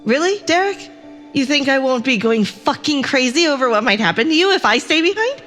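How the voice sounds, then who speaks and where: A woman's voice: high-pitched, lively intonation, a little fast, fairly bright tone, soft background music. A woman playing an anxious, defiant crew member in an audio drama, speaking earnestly and with emotion. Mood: weary, defiant.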